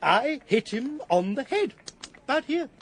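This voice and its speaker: An actor playing a rueful, self-pitying shop assistant, speaking melodramatically in a period radio drama. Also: male voice, very high-pitched, sing-song, slow, slight background noise, full character voice. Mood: rueful, despairing. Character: proud, rueful male shop assistant bewailing his ruin.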